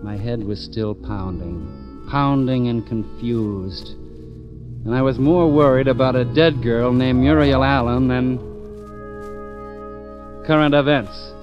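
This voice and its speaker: A man's voice: medium pitch, slow, long pauses, over music, natural voice. Guy playing a sardonic tough cop narrating, dry and clipped, old muffled radio sound. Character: hardboiled male police lieutenant. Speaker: narrator and detective. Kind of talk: 1940s radio acting.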